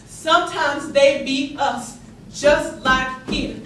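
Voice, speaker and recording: A woman's voice: sits high, steady tone, a bit bright, distant mic. A woman playing an earnest young enslaved woman from the American South, telling her story in a folksy, emphatic theatrical monologue with a Southern accent. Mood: hurt, earnest. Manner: folksy, emphatic, halting storytelling with dramatic swells. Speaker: stage actor. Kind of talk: theatrical monologue, 19th-century period storytelling. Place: indoor theatre stage, recorded from a distance. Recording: echoey theatre stage from afar, noisy.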